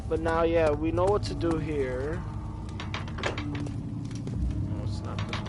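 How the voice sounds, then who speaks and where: Young male game host, stuffy and sick, higher voice, rambling chatter. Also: slow pace, very noisy. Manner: rambling, repeating words as he talks. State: stuffy from a cold. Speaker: gaming channel host. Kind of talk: casual let's-play commentary. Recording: game audio with loud music behind.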